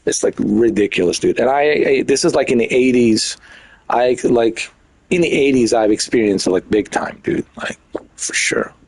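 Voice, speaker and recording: A man's voice: normal speed, darkish tone, slightly rough, poor audio. A man in his fifties, originally from Europe, chatting loosely and repetitively while streaming a game. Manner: loose and repetitive, full of "like" and "dude"; mimics others in a high mocking voice. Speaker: gaming streamer. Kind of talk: casual rambling chat.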